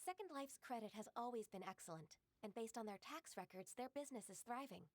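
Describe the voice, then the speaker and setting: Composed young secretary, polite, gentle, assured, slightly high voice, game dialogue. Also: female voice, lively intonation, fairly quick, no echo. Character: calm, composed young woman secretary and mentor. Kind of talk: video game voice acting.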